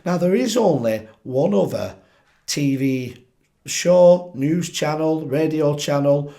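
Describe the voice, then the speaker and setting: A man hosting his own political commentary channel, croaky from a chest infection, talking animatedly and putting questions straight to his viewers. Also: a little high, slow. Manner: animated, asks viewers directly, frequent restarts. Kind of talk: opinionated solo commentary to camera.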